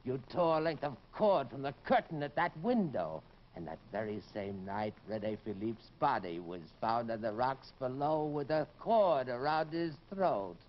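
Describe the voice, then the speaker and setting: Guy doing a menacing phantom in an old radio horror play, slow taunts, muffled recording with music. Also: mid-range voice, lively intonation, slight background noise, close to an everyday voice. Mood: accusing. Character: sinister faceless masked tormentor, monster of a man's soul.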